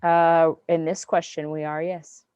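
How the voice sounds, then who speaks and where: Woman teacher, slow patient delivery, dry close video-call sound. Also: low-pitched, little pitch movement, a little mellow, clean tone, no room sound, highs cut off. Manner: patient, step-by-step counting aloud. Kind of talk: online math class review.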